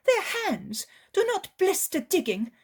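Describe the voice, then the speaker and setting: Poor, worn-out village mother, pleading and complaining loudly, high and husky as a woman reader puts her on. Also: animated, unhurried. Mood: bitter.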